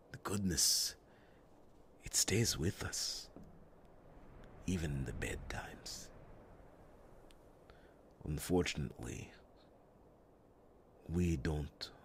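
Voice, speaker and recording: An extremely low-voiced, loyal guard captain played by a man in a fantasy audio roleplay. He speaks very slowly and formally, with breathy, solemn reassurance and long dramatic pauses. Faint background noise sits behind the voice. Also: heavily acted. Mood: wistful, hopeful. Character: loyal, earnest male captain of a royal guard.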